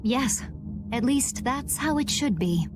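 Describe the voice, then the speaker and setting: A woman playing a calm, rational young adventurer with a measured delivery in a video game. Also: a little high, music in the background.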